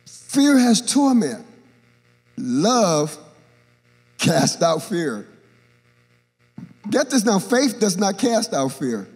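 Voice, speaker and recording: A man's voice: high-pitched, very slow, pauses often, soft background music. An older man, a bishop, preaching a sermon on a church stage into a handheld mic, acting out Bible characters and joking with the congregation. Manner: animated, acts out Bible characters, jokes with the congregation. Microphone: handheld microphone. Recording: long reverb of a large church hall.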